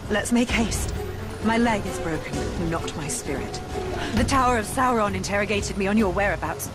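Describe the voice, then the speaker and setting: Lady playing a wounded but proud warrior chief, noble formal talk, loud music behind. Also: mid-range voice, animated, normal speed, close to an everyday voice. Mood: determined. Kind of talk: dramatic video game acting.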